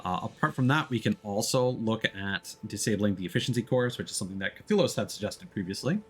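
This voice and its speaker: A man giving casual, explanatory let's-play commentary as a game streamer, talking in a halting way. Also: fairly low pitch, lively intonation, slight background noise. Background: background music.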